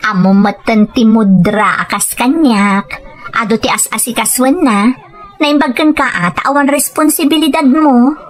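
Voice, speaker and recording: A woman plays a gentle, dutiful wife in an audio drama. Her voice is high, modest and a little hesitant, with faint music behind it. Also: moderate pace, close to an everyday voice. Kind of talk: Ilocano audio drama acting and narration.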